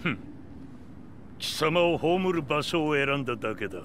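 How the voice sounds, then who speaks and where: An actor playing a cold, vengeful fighter in a video game, curt and contemptuous in Japanese. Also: male voice, a little high, expressive, quick pace, a bit bright, slight background noise. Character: cold, arrogant, vengeful male fighter in his prime.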